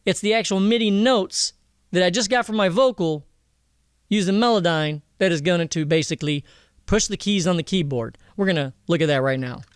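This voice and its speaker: High-pitched, smooth-voiced man giving a slangy tutorial. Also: no echo, clean studio sound. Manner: slangy, jokey, irreverent. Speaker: tutorial presenter. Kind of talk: casual software tutorial.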